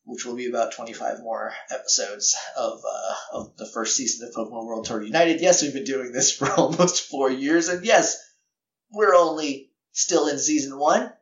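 A man's voice: medium pitch, moderate pace, echoey room, highs cut off. A man hosting a podcast, giving casual, rambling announcements in a slightly scratchy voice, often correcting himself and chuckling at his own remarks. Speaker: podcast host.

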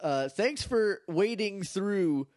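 Animated, chatty man podcasting. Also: sits high, slow, no echo, clean studio sound. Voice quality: slightly nasal. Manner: chatty, laughs often, animated. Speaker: podcast co-host. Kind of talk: casual chat.

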